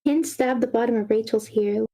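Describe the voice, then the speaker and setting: A woman's voice: on the high side, moderate pace, dark tone. A woman reading screenplay action lines aloud as the narrator of a live table read.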